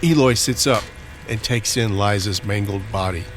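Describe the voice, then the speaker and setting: A man's voice: lowish voice, moderate pace, faint music behind. A man reading scripted narration for a horror mystery audio drama.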